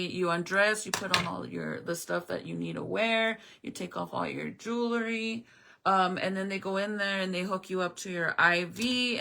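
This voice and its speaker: A woman in her forties chatting loosely with her live audience, blunt and full of swearing. Her voice is bright and tense, with a gravelly fry at the bottom of it. Her first language is Spanish. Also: fairly low pitch, a little hiss or hum. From American Southwest. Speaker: live streamer. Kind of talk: casual chat answering viewers.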